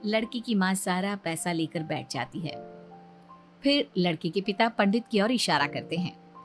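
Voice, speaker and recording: A woman's voice: medium pitch, lively intonation, moderate pace, faint music behind. A woman reading scripted story narration as a storyteller.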